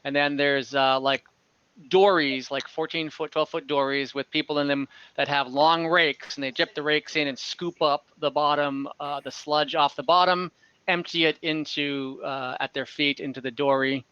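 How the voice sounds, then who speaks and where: A man's voice: on the high side, fairly even intonation, moderate pace, sharp tone, clear, resonant tone, dry recording. A man narrating as game master, describing a scene casually with many fillers and self-corrections. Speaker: dungeon master. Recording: slightly dull lo-fi online audio.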